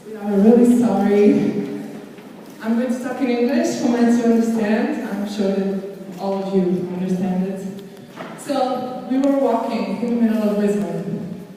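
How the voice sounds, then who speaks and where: A woman's voice: medium pitch, unhurried, dark tone. A woman moderating a Q and A, using simple second-language English. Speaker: event moderator. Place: large lecture hall. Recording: echoey hall, distant noisy recording.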